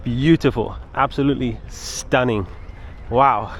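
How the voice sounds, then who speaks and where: An English man chats to his viewers as a travel vlogger walking outside. His voice sits at an average pitch, and he talks casually and keenly, with plenty of emphasis. Faint city and traffic noise runs behind him. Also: a little slow. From England. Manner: enthusiastic, repeats intensifiers. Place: outdoors on city streets and in a park.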